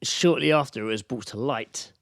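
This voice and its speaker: A man's voice: medium pitch, moderate pace, vocal fry, dry recording, studio quality. A British man co-hosting a podcast, chatting casually and recounting news with sweary, sarcastic jokes. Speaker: podcast co-host. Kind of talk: casual comedic chat, recounting news stories.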